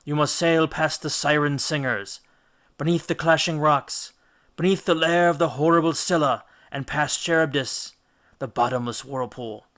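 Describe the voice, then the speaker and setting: A man voicing an elderly ghostly oracle, stern and all-knowing, making measured, ominous pronouncements in a storybook. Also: a little high, fairly bright tone, lightly acted.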